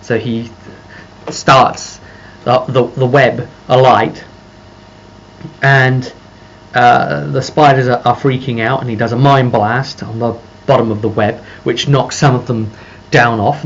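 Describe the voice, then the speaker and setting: A man's voice: medium pitch, fairly even intonation, a little slow. A man speaking casually and unscripted as a game master recounting a tabletop session, rambling as he pieces the story together. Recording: dull lo-fi microphone with faint noise.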